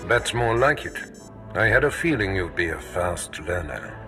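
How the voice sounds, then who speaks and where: An actor playing a haughty, menacing Sith master in a video game, measured and commanding, as if lecturing a pupil. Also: male voice, deep voice, sing-song, faint music behind. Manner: measured, imperious, lecturing delivery. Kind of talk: video game cutscene voice acting.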